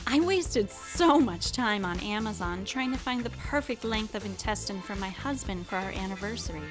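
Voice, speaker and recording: A woman's voice: medium pitch, animated, normal speed, natural voice. A woman voicing a creepy many-limbed female creature in a fake advert for an audio drama. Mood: chirpy. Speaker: ad testimonial voice. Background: music.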